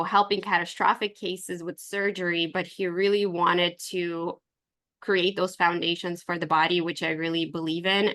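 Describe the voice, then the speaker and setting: Lower-pitched woman presenter from Ukraine. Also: a bit bright. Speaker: presenter pitching her herbal supplement line. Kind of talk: informal online business presentation. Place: online video call with screen share.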